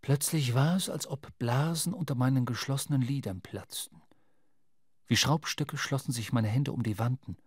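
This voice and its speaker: A man narrating a literary radio play in a measured, dramatic storytelling manner. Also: medium pitch, slightly rough, dry recording, studio quality. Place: dry studio.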